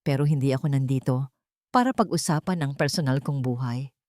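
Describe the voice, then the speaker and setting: A woman voicing a composed heiress executive who speaks calmly, coldly and with command. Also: rumbling low, fairly quick, dry recording, studio quality, voice pushed down. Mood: steady. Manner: calm, cold, commanding.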